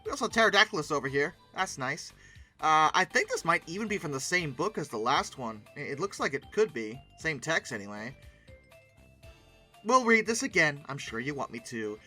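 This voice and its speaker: A man's voice: fairly high pitch, sing-song, moderate pace, bright, forceful tone, soft background music. A man giving casual commentary and reading text aloud, breaking in with sarcastic, exclamatory asides. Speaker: host commentating on old dinosaur art.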